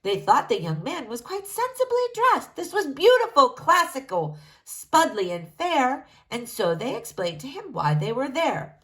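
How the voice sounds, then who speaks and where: A woman narrates a rhyming children's storybook aloud. Her voice is fairly high and extremely animated, rising and falling expressively through the verse. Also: unhurried. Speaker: storybook reader.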